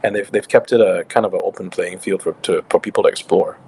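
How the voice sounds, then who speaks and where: A Singaporean man in his late thirties, with a slightly English-flavoured accent. His voice sits on the low side, and he talks in a relaxed, easygoing way with plenty of fillers and restarts, like a guest on a video-call interview. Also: quick pace, a little hiss or hum, low-quality recording. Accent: slightly English-tinged Singaporean. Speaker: guest, crypto company co-founder. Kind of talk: casual interview chat.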